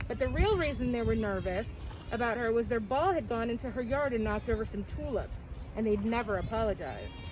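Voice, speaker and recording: High-voiced woman reading a children's story. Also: animated, brisk. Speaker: children's read-aloud storyteller. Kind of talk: expressive picture-book reading. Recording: clearly noisy, with background ambience.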